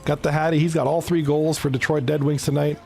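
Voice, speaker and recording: A man's voice: a little high, little pitch movement, fast, creaky, dark tone, a little hiss or hum. A man from Toronto giving casual live commentary on an esports hockey league, chatting with his viewers between plays. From Canada (Toronto).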